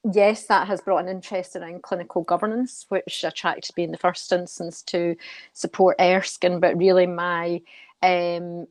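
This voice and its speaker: Low, even-voiced woman. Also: dry recording. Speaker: guest, senior nurse and charity committee member. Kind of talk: conversational interview answers.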